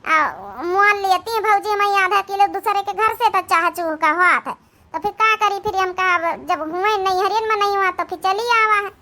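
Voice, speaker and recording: A woman's voice: extremely high pitch, expressive, normal speed, sharp tone, exaggerated voice. A woman voicing a worried young married daughter in a cartoon comedy, lamenting with an Awadhi accent. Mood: plaintive, explaining. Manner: plaintive, lamenting.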